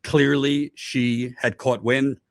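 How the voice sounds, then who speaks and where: A man's voice: mid-range voice, little pitch movement, slow, dry recording, clean studio sound. Man giving emphatic political commentary. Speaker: host of a political commentary show. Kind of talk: news commentary, reading translations and setting up clips.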